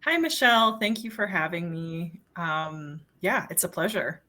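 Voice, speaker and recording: A woman's voice: on the low side, lively intonation, unhurried, sharp tone. A woman in her thirties, born in the Philippines, chatting as an interview guest with many ums and you-knows. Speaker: journalist and guest. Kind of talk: casual interview talk.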